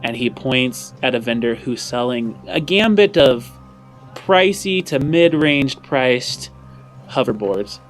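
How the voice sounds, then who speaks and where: A man narrates a tabletop roleplaying game as its game master, improvising descriptions. He takes his time, and soft music plays underneath. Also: mid-range voice, lively intonation, slow pace.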